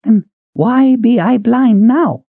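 A man's voice: extremely high pitch, slow pace, creaky, husky, no echo, narrow, tinny audio, pitched above the performer's own voice, heavily acted. A man voicing an elderly blind female sorceress who sounds bewildered and uses old-fashioned, quavering speech.

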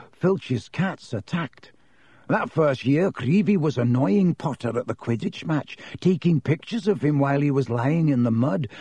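Man playing a pompous, suspicious schoolboy, slow and deliberate. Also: fairly high pitch, slightly rough, no room sound, exaggerated voice. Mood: accusing. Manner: hushed, mysterious to quavering and stubborn. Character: pompous, suspicious, fearful schoolboy spreading dark rumours.

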